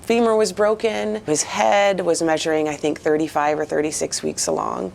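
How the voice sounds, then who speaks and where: Low, creaky American woman in her forties. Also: normal speed, darkish tone, a little hiss or hum. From Maine, United States. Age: 40s. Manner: reflective, earnest. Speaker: interviewee. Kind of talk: personal interview account.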